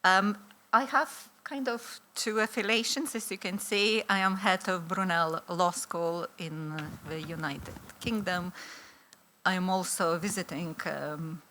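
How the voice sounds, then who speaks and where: A woman's voice: fairly low pitch, fairly even intonation, a little slow, bright, forceful tone, a little hiss or hum. A middle-aged woman with a Lithuanian accent giving a conference talk, hesitant with many fillers and restarts. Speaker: academic conference speaker. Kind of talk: conference presentation.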